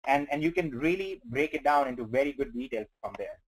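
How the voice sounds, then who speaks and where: A man's voice: medium pitch, a little fast, poor audio. A man from India, not a native English speaker, coaching listeners earnestly through numbers on a business webinar. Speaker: company trainer on webinar. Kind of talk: motivational webinar talk.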